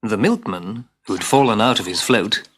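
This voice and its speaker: A man narrating a scripted children's story as a dramatic, expressive storyteller who drops into hushed asides. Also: lowish voice, moderate pace, husky. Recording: hissy old cassette tape.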